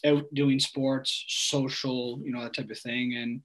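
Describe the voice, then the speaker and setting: Chatty man, relaxed and laughing. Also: mid-range voice, unhurried, poor audio. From Newfoundland, Canada. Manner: relaxed and chatty, laughs often, many fillers and restarts. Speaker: food bank guest. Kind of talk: casual interview chat.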